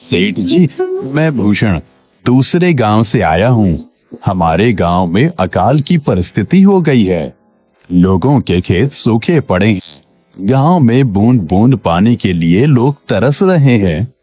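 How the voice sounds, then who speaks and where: A man's voice: fairly low pitch, sing-song, takes it slowly, muffled recording, heavily acted. Guy doing a poor honest village worker for a cartoon story, super polite, voice goes up and down a lot, music behind. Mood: pleading. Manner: polite, deferential, earnest. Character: humble, hardworking poor village laborer man. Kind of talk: Hindi animated moral story dialogue.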